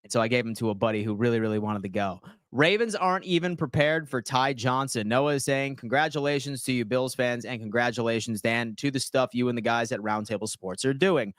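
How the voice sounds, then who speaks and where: A man, hosting a casual sports livestream and answering viewers' comments in slangy, animated talk. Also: mid-range voice, fairly quick, no echo, clean studio sound. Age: 30s. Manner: slangy, animated, reads chat aloud and answers.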